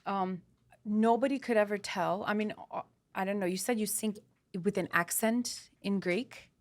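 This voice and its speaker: A woman's voice: medium pitch, normal speed. A woman hosting a podcast interview, chatting casually and encouragingly, often restarting her sentences. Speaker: podcast host.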